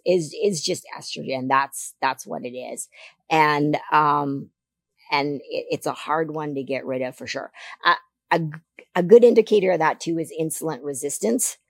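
A woman guest talking earnestly in a casual interview, with a deeper voice than she once had and many restarts. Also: no echo, studio quality. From Canada.

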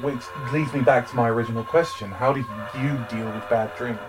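A man's voice: medium pitch, steady tone, moderate pace, background noise, natural voice. A man playing a troubled, plain-spoken investigator's apprentice in an audio drama, terse and uncertain in his questioning. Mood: uneasy.

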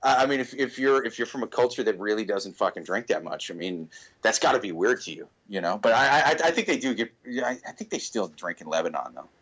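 A man's voice: fairly low pitch, expressive, fast talker, fairly bright tone, slightly rough, highs cut off. A man co-hosting a podcast, stammering and joking through casual chat. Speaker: podcast co-host.

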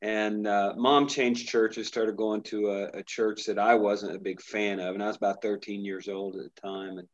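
A man's voice: on the low side, level tone, moderate pace, hardly any pauses. Middle-aged man telling stories, lo-fi. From Indiana, USA. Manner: rambling storyteller, frequent restarts. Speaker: pastor. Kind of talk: casual interview conversation.

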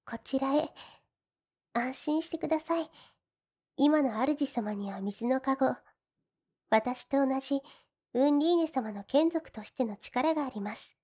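A woman voicing a gentle, devoted slime woman in an ASMR fantasy audio drama, speaking politely and a little shyly. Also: sits high, unhurried, long pauses. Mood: calm, reassuring. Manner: soft, polite, deferential, shyly hesitant. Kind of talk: Japanese ASMR situation voice drama.

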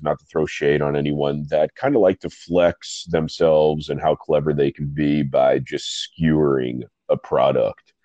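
Stuffy guy with a super low voice hosting an interview, rambly and joking at himself, dry dull sound. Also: dark tone, no room sound. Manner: rambling, self-deprecating, quick asides. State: stuffy nose. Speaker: podcast host and coffee reviewer. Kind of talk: casual interview chat.

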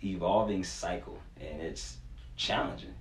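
A man's voice: low-pitched, unhurried. A man in his twenties speaking casually and earnestly as a coach being interviewed, in informal, slangy language with plenty of "you know". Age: 20s. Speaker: youth sports performance coach, interview guest. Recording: echoey room, faint background noise.

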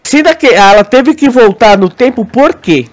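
Man, high voice, Brazilian accent, casual podcast co-host, halting explanations, repeated words, frequent check-in questions. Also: expressive. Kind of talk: casual podcast discussion, theorizing.

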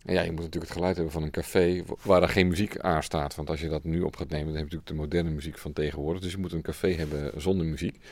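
Male interviewee, informal and hesitant, very low register, crackly fry, close dry studio sound. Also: level tone, racing, few pauses, darkish tone, dry recording. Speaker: radio drama sound designer. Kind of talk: casual interview answers.

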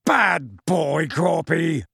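Man performing a half-giant gamekeeper, storybook character, big and bumbling, hearty, folksy, coaxing, loud raised voice, dry sound. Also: high-pitched, big pitch swings, slow pace, vocal fry, husky, no echo, pitched above the performer's own voice, exaggerated voice. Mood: scolding. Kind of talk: audiobook character voice.

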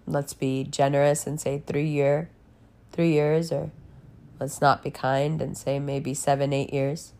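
A woman's voice: low-pitched, little pitch movement, measured pace, a little mellow. A woman giving a candid personal monologue in a blunt, emphatic way, with frequent swearing. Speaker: podcast and channel host.

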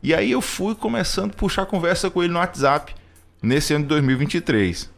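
A man's voice: fairly high pitch, a little fast, creaky, a bit bright, faint music behind. Earnest hesitant man in his thirties. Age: 30s. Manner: hesitant, many restarts and fillers, earnest. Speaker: radio program co-host.

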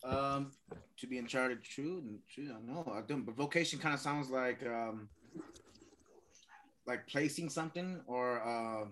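Regular-pitched dude on a video call, joking, lots of um and man. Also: fairly bright tone, a little hiss or hum, rough recording. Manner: joking, hesitant, says "man" a lot. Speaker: co-host. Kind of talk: playful banter over video call.

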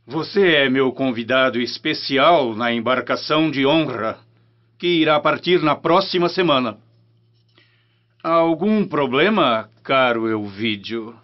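A man's voice: mid-range voice, animated, unhurried, lightly acted. An imperious but courteous Roman emperor played by a man speaks in a stately, formal way. The radio drama recording is somewhat dull and muffled. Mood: commanding, courteous.

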